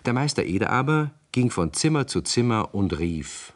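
A man's voice: medium pitch, big pitch swings, moderate pace. A man narrating a story for a 1960s radio play in scripted storybook style.

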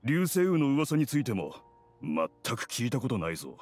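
Gruff, proud game blacksmith. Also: male voice, medium pitch, big pitch swings. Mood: skeptical. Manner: blunt, measured, rough-spoken. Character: gruff, proud, no-nonsense male blacksmith craftsman. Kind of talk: Japanese video game voice acting. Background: background music.

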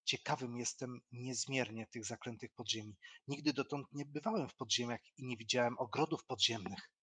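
Guy doing a keen naive fairytale boy, earnest n theatrical, bright raspy voice. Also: medium pitch, normal speed. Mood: eager, confident. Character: eager, naive boy Aladdin, obedient and curious.

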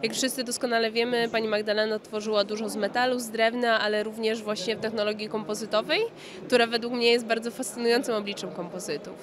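A woman's voice: a little high, steady tone, quick pace. A woman in her thirties giving an enthusiastic, explanatory interview as a company marketing manager, speaking into a handheld microphone. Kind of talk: spontaneous trade fair interview. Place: indoor exhibition hall in front of backdrop. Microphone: handheld interview microphone just below frame. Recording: busy trade fair hall crowd noise. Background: crowd hubbub.

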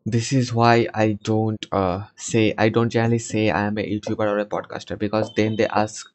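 A man in his twenties hosting a casual conversation podcast over a video call, talking from a small room and often pausing to find his words. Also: on the low side, flat delivery. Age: 20s. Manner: hesitant, searching for words. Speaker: podcast host. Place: small home room, indoors. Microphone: headset.

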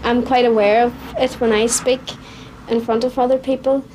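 Young Northern Irish woman, student interviewee, reflective; higher voice, even delivery, faint hiss. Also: moderate pace, low-quality recording. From Northern Ireland. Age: young adult. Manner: candid, reflective, with fillers. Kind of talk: documentary interview. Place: small student bedroom.

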